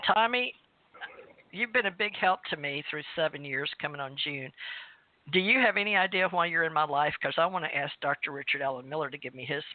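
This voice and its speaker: A woman's voice: sits low, animated, brisk, no echo. A woman with a Southern accent hosting a call-in show, chatting in a rambling, talkative way and laughing often. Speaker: host. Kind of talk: casual chat on a call-in show. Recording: tinny phone line.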